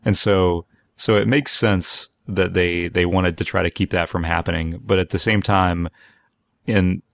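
A male podcast host with a very low voice that often drops into fry. He talks casually and meanders, pausing to find his words. The sound is dry. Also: steady tone, no echo.

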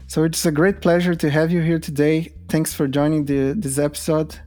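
A man's voice: a little high, flat delivery, moderate pace, darkish tone. A man hosting a podcast, asking questions in a friendly, slightly hesitant way. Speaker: podcast host. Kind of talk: casual interview.

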